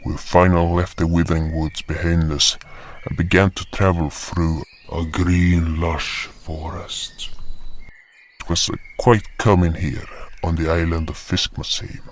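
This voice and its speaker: A man playing a gentle, proud, hulking beast guardian who tells his own story deliberately in a fantasy audio drama. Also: low voice. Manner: slow, deliberate storytelling with hesitations. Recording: noticeable background noise.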